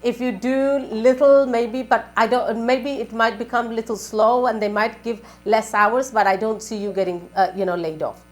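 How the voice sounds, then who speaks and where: High-voiced woman giving live spiritual advice. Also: fairly even intonation, moderate pace, few pauses, faint music behind. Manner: repetitive, asking leading questions and giving advice. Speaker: spiritual advisor and astrologer on a call-in show. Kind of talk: live call-in consultation.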